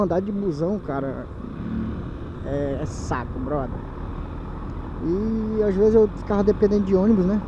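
A man from Manaus with a Brazilian Portuguese accent, chatting casually in slang to his viewers while riding a motorcycle at night, recorded on a helmet camera mic. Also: sits high. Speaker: motovlogger. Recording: dull helmet-cam audio with loud wind and engine noise.

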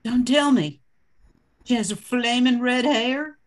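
A woman's voice: on the high side, slow. An older woman playing a forgiving, resolute widowed mother in an amateur radio play. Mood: knowing.

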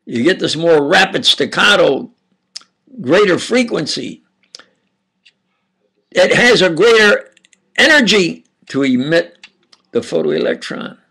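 Older man, New York-area accent, gravelly husky voice, slow and halting, insistent lecturing. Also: high-pitched. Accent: Upstate New York / New Jersey. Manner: slow, halting, insistent, with frequent restarts and sudden loud emphasis. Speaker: self-styled preacher lecturing on camera. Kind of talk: rambling monologue lecture.

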